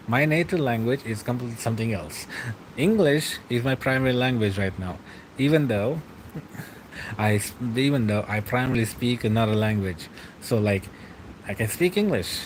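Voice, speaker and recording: Relaxed young man chatting late at night. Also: medium pitch, a little hiss or hum, low-quality recording. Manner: relaxed and rambling, laughs often, trails off. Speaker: streamer reading live chat. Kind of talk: late-night casual chat.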